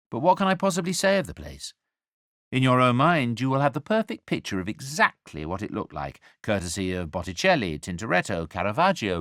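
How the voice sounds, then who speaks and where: An actor playing an angel who narrates a radio drama in a measured, wry and confiding way, speaking directly to the listener as a compassionate storyteller. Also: male voice, lowish voice, a bit bright, no echo, clean studio sound, heavily acted.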